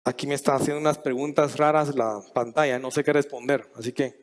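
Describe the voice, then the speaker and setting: A middle-aged man from Guatemala giving a conference talk, measured and thinking aloud with frequent restarts and fillers. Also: mid-range voice, steady tone. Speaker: conference speaker. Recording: long hall reverb.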